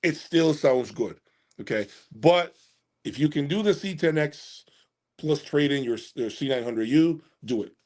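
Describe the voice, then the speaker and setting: A man's voice: a little high, lively intonation, a little slow, darkish tone. Emphatic man chatting casually on a livestream. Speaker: host of an audio gear channel. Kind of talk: casual livestream chat answering viewer comments.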